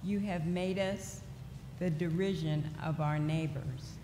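A middle-aged woman reading scripture aloud at a church lectern in a slow, deliberate way. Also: deep voice, fairly even intonation. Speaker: lay reader. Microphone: lectern microphone. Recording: echoey church hall with faint noise.

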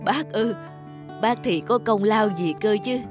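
A woman voicing a kindly, humble elderly village neighbour in an animated folk tale, gentle and exclamatory. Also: mid-range voice, measured pace, lightly acted. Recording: music behind the voice.

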